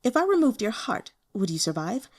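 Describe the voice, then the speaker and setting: Predatory immortal woman for a fantasy audiobook, played by a female narrator: eager, domineering, lively swings in pitch. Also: fairly quick. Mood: gleeful, predatory. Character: predatory, gleeful immortal woman, ghost-hungry cultivator.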